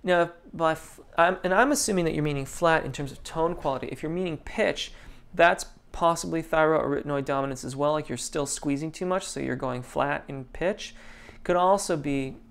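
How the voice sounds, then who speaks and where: A man's voice: medium pitch, creaky. A man, a vocal coach, answering viewers' questions on a live stream, thoughtful and full of restarts and ums. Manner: reads viewer comments aloud.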